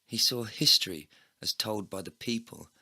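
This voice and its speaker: A man's voice: fairly low pitch, normal speed, pushed and bright, husky, dry recording, studio quality. A man narrating a first-person comedy audio drama from a script, world-weary, sardonic and sweary. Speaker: narrator and performer.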